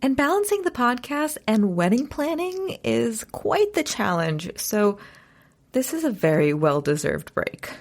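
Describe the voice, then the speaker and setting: A woman's voice: on the high side, very animated, a little slow, creaky. A young adult woman hosting a podcast, reading a scripted intro and then interviewing a guest in an upbeat, enthusiastic way. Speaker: podcast host.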